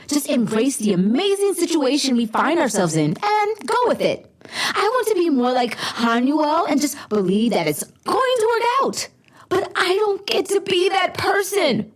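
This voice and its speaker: A tense young heroine , played by a woman in an audio drama. Her voice is high and swings freely as she speaks with earnest exasperation. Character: tense, self-burdened young female hero, weary perfectionist.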